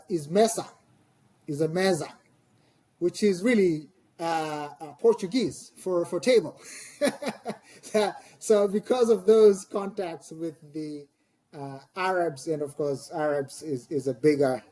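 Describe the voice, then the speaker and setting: A middle-aged Tanzanian-accented man gives an interview in his second language. His voice sits a little high for a man, yet it is soft-edged, mellow and husky. He explains things thoughtfully, pausing and repeating words as he searches for the next one. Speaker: podcast guest, scholar.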